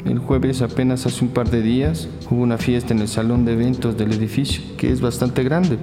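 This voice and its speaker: A man's voice: mid-range voice, level tone, brisk, close to an everyday voice. An obliging, chatty doorman played by a man in an audio crime drama. His voice rings in a reverberant hall with music underneath, gossipy and a little wary. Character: helpful, gossipy, slightly wary building doorman. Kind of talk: scripted audio drama dialogue.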